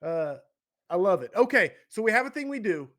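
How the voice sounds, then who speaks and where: A man's voice: high-pitched, sing-song, fairly quick, fairly bright tone. A man hosting a casual podcast interview, enthusiastic and playful, laughing often. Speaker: podcast host.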